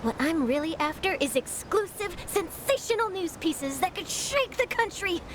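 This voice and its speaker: A woman's voice: very high-pitched, expressive, moderate pace, slight background noise. A woman voicing an eager, ambitious young newspaper reporter in a video game, bubbly, emphatic and dramatic. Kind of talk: English video game voice acting. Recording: loud game music behind the voice.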